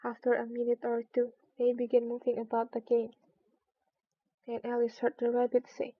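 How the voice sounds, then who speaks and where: A woman narrating a storybook in an expressive read-aloud style. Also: on the high side, normal speed, no room sound. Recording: dull lo-fi recording.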